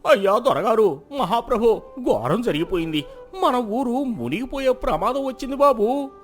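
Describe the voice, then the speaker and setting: A humble village farmer voiced by a man pleads in alarm, breathless and urgent. The voice is pitched high and swings widely, as in a dramatized folk tale, over music. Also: animated, moderate pace. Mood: panicked. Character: alarmed, humble male farmer reporting disaster. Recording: music behind the voice.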